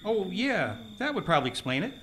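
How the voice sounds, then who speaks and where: A woman's voice: mid-range voice, very animated, a little fast, fairly bright tone, poor audio. Woman playing arch, swooping gossip columnist. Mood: arch, gossipy. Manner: wry, arch. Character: brisk, gossip-hungry female newspaper society columnist. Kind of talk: comic stage radio play acting. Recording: live theater stage, faint noise.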